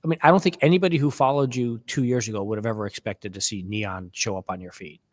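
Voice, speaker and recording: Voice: mid-range voice, fast. Chatty podcast host with rambling questions. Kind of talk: casual podcast interview.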